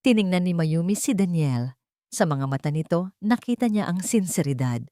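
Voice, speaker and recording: A woman's voice: lowish voice, expressive, moderate pace, warm tone, no room sound, clean studio sound. A woman with a Filipino accent reading a scripted dramatic story as its narrator.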